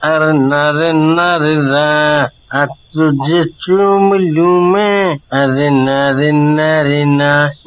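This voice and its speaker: A man's voice: fairly high pitch, flat delivery, very slow, a bit bright, dull audio, a little hiss or hum. A man voicing a boastful but cowardly village drunkard, slurred and singsong. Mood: merry, tipsy.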